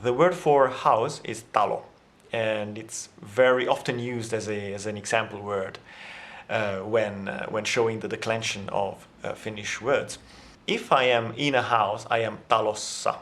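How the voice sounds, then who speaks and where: A man's voice: fairly low pitch, fairly even intonation, a little slow, fairly bright tone. A man in a language vlog, explaining grammar in a casual, thinking-aloud way with many fillers and restarts; his first language is Italian. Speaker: language vlogger. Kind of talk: casual language lesson to camera.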